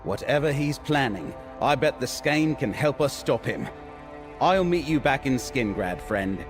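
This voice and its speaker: An actor playing an earnest, thoughtful scholarly ally in a fantasy game, measured and confiding. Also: male voice, mid-range voice, expressive, lightly acted. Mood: thoughtful, resolute. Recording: reverberant space, faint music behind.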